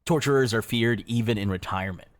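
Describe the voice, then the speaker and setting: A man reading dramatic scripted second-person narration in a grave, measured storytelling manner. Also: mid-range voice, a little hiss or hum. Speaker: video narrator. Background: background music.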